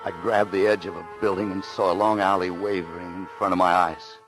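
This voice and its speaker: A man's voice: low-pitched, level tone, a little fast, natural voice. An actor playing a desperate, wounded man on the run, telling his story intensely in a 1940s radio thriller. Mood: pained, weary. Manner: intense, hard-boiled. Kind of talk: 1940s radio thriller acting, narration and dialogue. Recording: remastered 1940s radio, music behind.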